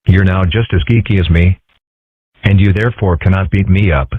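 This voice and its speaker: A man's voice: low voice, moderate pace, breathy edge, dry recording. A man voicing a geeky, laid-back young fox boy in a comic dub, dry and matter-of-fact. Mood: wry. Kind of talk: amateur comic strip dub. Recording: muffled, phone-like lo-fi audio.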